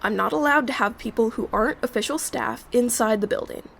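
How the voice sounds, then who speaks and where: A woman's voice: fairly high pitch, fairly quick, vocal fry. A woman acting in an audio drama as a young night security worker, reserved and hesitant but firm. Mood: stern. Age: young adult.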